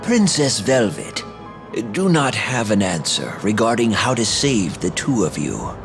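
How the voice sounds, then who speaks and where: A touchy, self-important scholar from a fantasy game, over a music bed. The formal, theatrical delivery swoops dramatically up and down. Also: male voice, fairly low pitch, sing-song, unhurried. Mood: lecturing. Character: pompous, touchy male scholar and royal tutor. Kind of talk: scripted fantasy video game acting.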